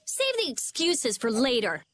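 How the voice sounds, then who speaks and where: A woman voicing a prickly, sharp-tongued teenage girl in a video game, with snappy, sarcastic delivery. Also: fairly high pitch, huge pitch swings, a little slow, a little hiss or hum. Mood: scolding.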